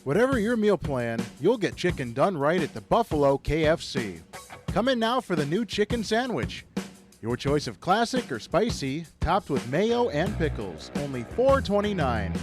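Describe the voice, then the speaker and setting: A man's voice: on the high side, sing-song, moderate pace. A man reading a scripted radio commercial as a voice-over announcer. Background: guitar music bed.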